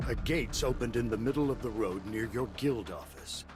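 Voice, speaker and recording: A man's voice: medium pitch, moderate pace, close to an everyday voice. A man playing a genial, assured older chairman in a video game, courteous and reassuring. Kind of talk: English game dub acting. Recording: music behind the voice.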